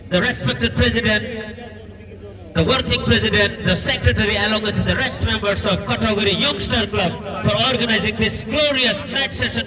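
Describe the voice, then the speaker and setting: A man with an Assamese accent giving live cricket commentary at an outdoor night match, growing excitable and shouting on big hits. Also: sits high. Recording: distant loudspeaker voice over crowd noise. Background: large crowd.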